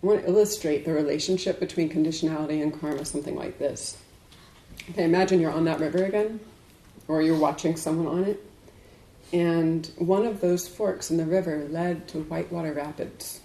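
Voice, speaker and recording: A woman giving a dharma talk to a group as a Buddhist teacher, speaking in a measured, deliberate way with emphatic pauses. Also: low voice, steady tone, mellow. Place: indoors in a room. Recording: echoey room.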